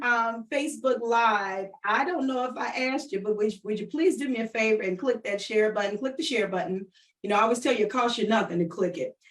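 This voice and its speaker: A woman's voice: a little high, far from the mic. A woman hosting a casual livestream interview, chatting conversationally and addressing viewers directly, often repeating her phrases. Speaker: online show host and interviewer.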